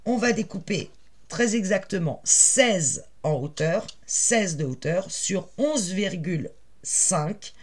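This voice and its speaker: A woman with a naturally raspy voice and a head cold, chatting casually with many fillers as she explains a paper craft project step by step while she works. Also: lowish voice, a little hiss or hum. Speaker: crafting tutorial host.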